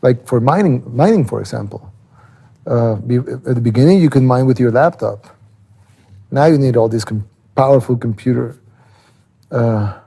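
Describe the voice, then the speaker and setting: A man with a Salvadoran Spanish accent speaks in a very mellow, dark voice at an average pitch. A gravelly creak runs through it. He explains casually, as in an interview, often pausing and repeating a word before moving on. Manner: halting, repeats words while thinking.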